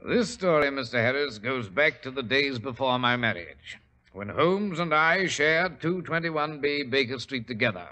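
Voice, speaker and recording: An actor playing a blustering, flustered middle-aged English doctor in a 1940s radio drama, with a British accent. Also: male voice, medium pitch, animated, moderate pace, bright, forceful tone. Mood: reminiscing. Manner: blustery, indignant, stammering. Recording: old radio recording with faint hiss.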